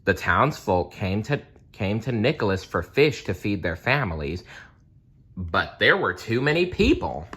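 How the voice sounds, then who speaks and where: A man reading a children's story aloud in a deliberate, storytelling manner. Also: on the low side, big pitch swings, fairly bright tone, husky.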